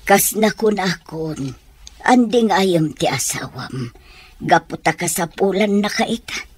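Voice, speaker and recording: A woman with an Ilocano accent playing a concerned older mother who gives gentle counsel in a radio drama. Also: on the low side, animated, measured pace, breathy edge. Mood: counselling.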